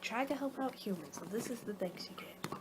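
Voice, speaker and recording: A woman performing a soft-spoken, partly whispered ASMR roleplay as a casual vampire, rambling and halting as she goes. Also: a little high, expressive, heavy background noise. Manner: rambling, halting, losing her train of thought. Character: young-for-her-kind female vampire guiding a client, casual, a little exasperated.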